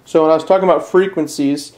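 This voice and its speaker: A man giving a casual step-by-step product tutorial in a plain, unpolished, slightly halting way. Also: fairly high pitch, fairly even intonation, a little mellow. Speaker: presenter of a how-to video.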